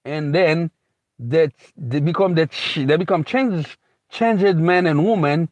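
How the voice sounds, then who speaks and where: A man's voice: a little high, slow, darkish tone, dry recording. A man from Haiti giving a rambling, unscripted motivational talk as a speaker, repeating himself and restarting phrases often. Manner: rambling, repetitive, frequent restarts and "you know". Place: lives in America.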